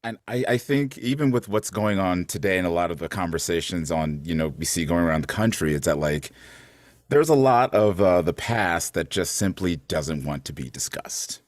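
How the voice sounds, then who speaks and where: A man's voice: deep voice, expressive, normal speed, no echo. A man talking casually and thoughtfully as a performer in a panel conversation. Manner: informal, thoughtful, frequent 'like'.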